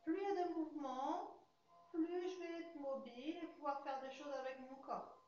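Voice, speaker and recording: A woman's voice: high voice, normal speed. English-accented woman coaching Pilates in an echoey studio. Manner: calm, counts breaths while demonstrating. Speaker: Pilates instructor. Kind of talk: guided exercise class. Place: large fitness studio with hard floor, indoors. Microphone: far camera. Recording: echoey studio, dull sound, faint hiss.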